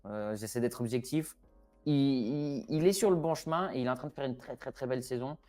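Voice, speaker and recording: Man, mid-pitched, casual football talk, hesitant restarts, faint music behind. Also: a little fast. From Portugal (Porto roots). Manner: hesitant, many fillers and restarts, animated. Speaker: expert guest on Portugal.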